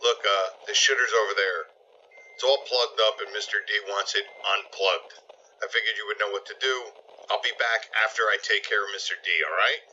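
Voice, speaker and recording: A man's voice: on the low side, fairly even intonation, pushed and bright, dull audio, a little hiss or hum. A man voicing a gorilla-like, put-upon manservant in a comic audio play, blunt, grumbling and defensive. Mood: brisk, blunt. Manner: gruff, blunt, halting, grumbling. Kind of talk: comedic audio play acting.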